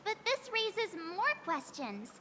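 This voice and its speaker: A woman's voice: very high voice, huge pitch swings, slow, sharp tone, slight background noise, full character voice. A woman voicing a small, inquisitive, thoughtful companion character in a video game, with a childlike voice. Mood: thoughtful, curious. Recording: long reverb, faint game music.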